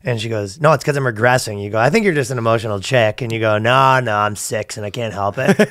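A man's voice: medium pitch, normal speed, a bit bright, no echo. A man in his thirties hosting a comedy podcast, riffing casually and profanely and acting out imagined dialogue. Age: 30s. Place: small podcast studio. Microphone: close studio mic on boom arm.

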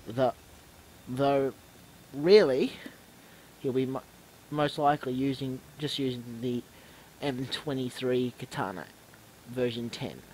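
A man's voice: mid-range voice, slow, long pauses, a little mellow, slight background noise, rough recording. A man with a stuffy, snuffly voice from a cold gives casual commentary on his own video game match. He often rambles and stops to correct himself. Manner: rambling, self-correcting, restarts words.

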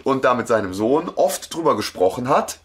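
A man with a husky, medium-pitched voice chats casually as a podcast co-host. He argues insistently and tends to repeat his words as he makes a point. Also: sing-song, moderate pace, fairly bright tone. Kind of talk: casual chat discussing an audio drama.

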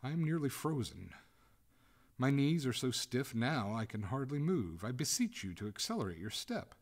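Man voicing a melodramatic, formal lady ghost, animated pitch. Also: normal speed. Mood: distressed. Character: female water ghost, haughty lady turning to desperate pleading.

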